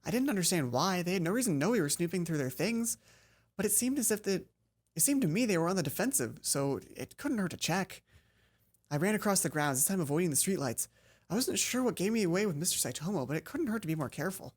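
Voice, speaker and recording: Husky low-pitched woman reading a game aloud. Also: lively intonation, fast talker, a little mellow. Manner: stumbles over read text, exasperated asides. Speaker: let's play host. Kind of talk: reading visual novel aloud with casual commentary.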